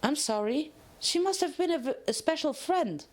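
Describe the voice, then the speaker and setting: A woman's voice: high-pitched, animated, normal speed, voice pushed up. A woman voicing a cheerful, chatty, naive pink pony inmate in a dramatic story reading. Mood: perky, chatty. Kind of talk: dramatic fan fiction reading.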